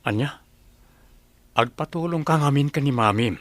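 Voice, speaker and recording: A man playing an earnest, conflicted young father with a measured delivery in an Ilocano radio drama. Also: mid-range voice, a bit bright, no echo, lightly acted. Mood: firm, fatherly.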